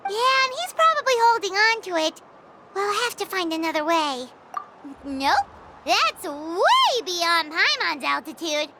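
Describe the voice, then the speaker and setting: A tiny fairy girl sidekick from a video game, extremely high and squeaky. She is childlike and excitable, swinging widely in pitch, with loud noise behind her. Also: unhurried, heavily acted. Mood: indignant, fretful. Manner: exclaiming, cutesy, refers to self in third person.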